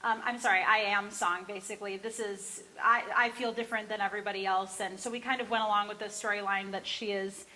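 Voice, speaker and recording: A woman with an American accent chatting casually between songs in a barn, informal and halting, often correcting herself. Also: medium pitch, steady tone, bright, forceful tone, low-quality recording. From Minnesota, USA. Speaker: singer introducing songs.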